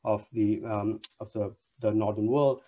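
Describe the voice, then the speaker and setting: A man's voice: on the low side, fairly even intonation, measured pace. A man in his thirties from Nepal, a university lecturer introducing an online panel, speaking formally with many fillers and restarts. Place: home room on a video call. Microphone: wired earphone mic on a video call. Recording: dull lo-fi video call audio.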